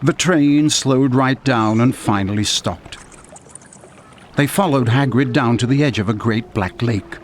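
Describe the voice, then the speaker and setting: An actor playing a calm storybook narrator in a video game, reading scripted narration. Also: male voice, medium pitch, a little slow, slight background noise, lightly acted. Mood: measured.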